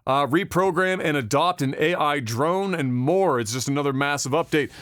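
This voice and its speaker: Dry-sounding ranting man, higher voice. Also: normal speed, a bit bright, dry recording. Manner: profane, wry ranting. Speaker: podcast co-host. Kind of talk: casual gaming news chat.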